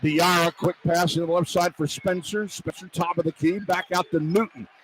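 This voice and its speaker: A male radio play-by-play announcer with a high-pitched voice calls basketball live. He speaks in clipped, running phrases that climb with the action, over faint arena noise. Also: steady tone, normal speed, low-quality recording. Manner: clipped running phrases, voice rising to shouts on big plays. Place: courtside in a college basketball arena. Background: arena ambience, faint noise.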